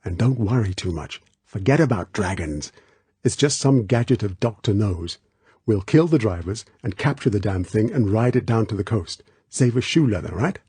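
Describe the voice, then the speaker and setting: A man voicing a tough, protective action hero in an audiobook, with a clipped, low-key, commanding manner. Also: lively intonation, moderate pace, warm tone, slightly rough, no room sound, exaggerated voice. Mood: brisk, resolute.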